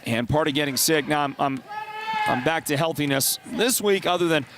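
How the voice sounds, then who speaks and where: Stuffed-up guy doing play-by-play at a gym, slightly high voice, rambly, faint gym noise behind. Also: normal speed. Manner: chatty, rambling asides between plays. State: congested, voice partly lost. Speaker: play-by-play announcer. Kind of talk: basketball play-by-play commentary.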